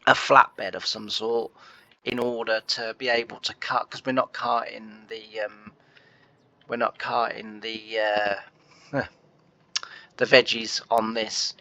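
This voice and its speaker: A man's voice: on the low side, little pitch movement, slow, sharp tone, dry recording. A man, nasal from a cold, rambling through casual gameplay commentary with many fillers. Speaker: gameplay host.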